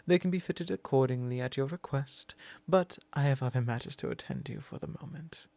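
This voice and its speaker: A man's voice: a little mellow, no room sound. A man playing a whispering possessive vampire lord. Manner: slow, low and intimate, with frequent whispers. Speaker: ASMR roleplay performer. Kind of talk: ASMR roleplay.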